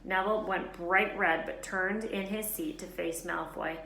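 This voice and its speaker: A woman teacher reading a children's novel aloud in a lively, expressive way, fixing small slips as she goes. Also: mid-range voice, unhurried, gravelly. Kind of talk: storybook read-aloud narration. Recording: roomy echo, faint background hiss.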